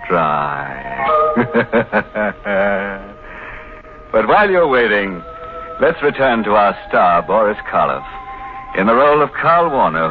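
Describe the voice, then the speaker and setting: Casting: male host for vintage radio thriller, fairly deep voice, macabre and wry. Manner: macabre, tongue-in-cheek. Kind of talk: wry 1950s radio mystery announcing. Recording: muffled old radio recording, music behind.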